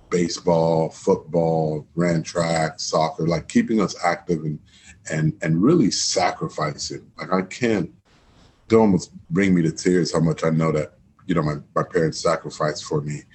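A man's voice: low-pitched, slight background noise. A man from Miami, a former football coach, talking casually as a podcast guest, with many restarts and repeated words. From Miami, Florida. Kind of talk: casual interview chat.

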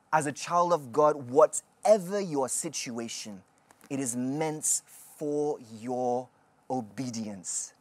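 Woman, low voice, slow and emphatic, studio mic, dry sound. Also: no room sound. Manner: measured, emphatic, slow sermon-like delivery. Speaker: TV prayer session host. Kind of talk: preaching and interviewing callers. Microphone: studio microphone.

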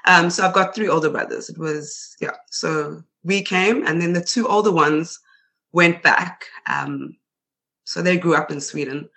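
A young woman with a South African accent talks in a relaxed interview. Her voice is bright and lively, and she laughs often and fills pauses with casual chatter. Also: lowish voice. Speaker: interview guest.

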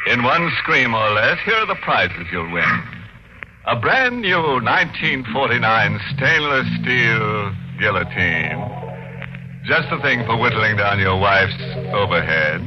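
Casting: morbidly gleeful male host of a 1940s radio chiller, slow, theatrical, wildly varied intonation. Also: on the low side, sing-song. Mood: gleefully morbid. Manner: theatrical, punning, mock-genial. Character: ghoulish, gleefully morbid, mock-genial male horror host. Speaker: horror radio show host. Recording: dull old radio recording, music behind.

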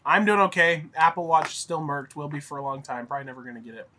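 A man's voice: fairly high pitch, fast, crowd noise. A young man with a mild cold, sniffling, chatting casually on a podcast, rambling with lots of fillers and laughs. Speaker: podcast co-host. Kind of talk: casual chat.

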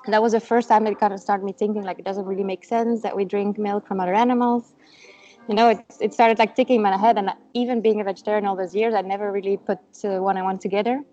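A woman's voice: mid-range voice, little pitch movement, fast, mellow, highs cut off. A Belgian woman whose first language is Dutch, chatting casually as a guest over a video call, with lots of fillers and laughs.

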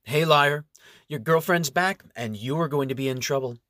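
Brief: man voicing a furious young elf woman, high and forceful, dry read. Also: very animated, a little fast, no echo. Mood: angry. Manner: snappish, threatening. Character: angry young female elf, commanding and sharp.

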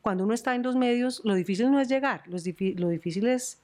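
A woman's voice: on the high side, fast. Colombian woman telling stories, laughing often. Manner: animated, laughs often, storytelling. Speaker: journalist and news presenter. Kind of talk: podcast interview.

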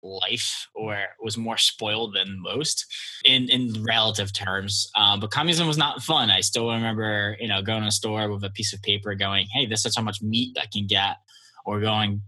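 Polish-born guy, husky and really bright voice, relaxed rambling chat. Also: fairly low pitch, rough recording. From Poland. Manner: relaxed, rambling, with many fillers. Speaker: guest entrepreneur. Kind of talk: casual interview talk.

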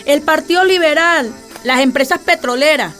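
A woman's voice: high-pitched, moderate pace, fairly bright tone, soft background music. A woman playing an outspoken Venezuelan mother in a radio drama, colloquial and exclamatory. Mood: explaining, bitter. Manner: exclamatory, colloquial, affectionately scolding. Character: spirited, outspoken Venezuelan working-class mother and wife.

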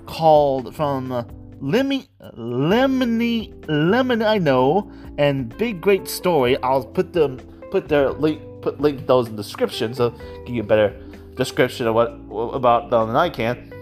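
An excitable, giggly speaker rambling casually about a favourite hobby, often repeating and stammering over words. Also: medium pitch, a little slow, soft background music. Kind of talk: casual rambling monologue about audio dramas.

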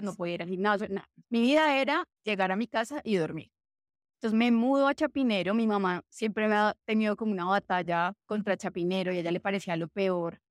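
A woman's voice: mid-range voice, dry recording, studio quality. A young woman from Colombia, chatting in an interview and filling her talk with lots of small fillers. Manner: chatty, full of fillers like "o sea" and "pues". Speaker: guest, café co-founder. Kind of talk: casual conversational interview.

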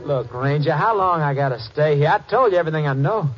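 A man's voice: fairly high pitch, animated, fast talker, over loud music, natural voice. An actor playing a young American ex-convict suspect who protests his innocence in a folksy, hesitant, pleading way, in 1950s radio drama style. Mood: defensive. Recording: muffled vintage radio recording.

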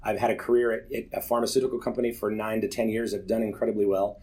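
A man's voice: on the low side, little pitch movement, fast, a little mellow. A man in his forties giving an earnest, candid personal testimony to a church group, telling stories with self-deprecating humor. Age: 40s.

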